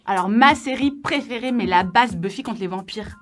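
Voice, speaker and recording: A woman's voice: a little high, normal speed. A woman of 31 from Morocco and southern France, chatting casually in a slangy, teasing way as an interviewee. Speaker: interviewee in a couple's question game. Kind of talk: casual chat. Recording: music bed behind the voice.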